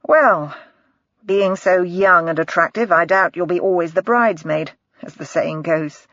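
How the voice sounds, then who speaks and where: A woman voicing a polite, smooth-talking male doctor with a soft, coaxing manner, in a slightly dull, dry recording. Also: fairly low pitch, a little slow, no echo.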